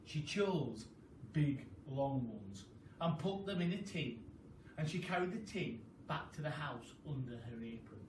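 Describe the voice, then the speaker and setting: A man reading a children's story aloud in an expressive, deliberate storytelling style, with chatty asides. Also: medium pitch. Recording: roomy, distant mic, faint noise.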